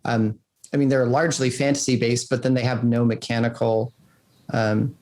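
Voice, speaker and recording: A man's voice: mid-range voice, little pitch movement, normal speed, a little mellow. Man casually explaining his design work. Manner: rambling explanations with frequent "like". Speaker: game designer being interviewed. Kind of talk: casual podcast interview.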